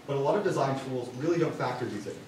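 A young man gives an informal student presentation in a casual, wry way. His voice is low for a man and carries heavy room echo. He is picked up from across a lecture hall with clear background noise. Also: lively intonation, quick pace, darkish tone. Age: young adult. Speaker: student presenter at a university science club. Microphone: far camera. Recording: distant room mic, very echoey, clear noise.

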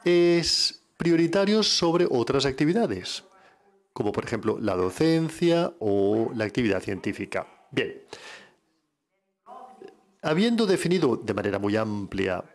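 A man renders an academic lecture as a live interpreter, speaking from a booth at a conference. His voice sits at an ordinary middle pitch. He keeps a measured delivery and often catches and corrects a word mid-phrase. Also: very animated. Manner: measured, with frequent self-corrections.

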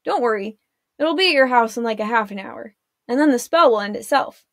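A woman doing a wry, calm teenage warlock boy. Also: fairly high pitch, brisk, no echo, clean studio sound. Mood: matter-of-fact.

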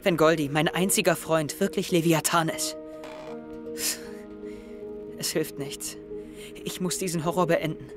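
A man's voice: a little high, fairly even intonation, unhurried, long pauses, music in the background, close to an everyday voice. A young man playing an anxious, wounded, suspicious teenage schoolboy in an audio drama, speaking intensely. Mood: anxious, brooding. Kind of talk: German audio drama acting, confessional narration and dialogue.

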